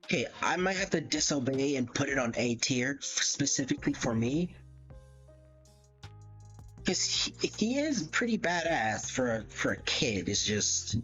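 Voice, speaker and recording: A man's voice: mid-range voice, very animated, a little slow, fairly bright tone. A man giving casual livestream commentary, thinking aloud hesitantly and often correcting himself as he goes. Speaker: livestream host. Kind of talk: casual livestream commentary, ranking game characters. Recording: dull lo-fi mic, music behind. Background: background music.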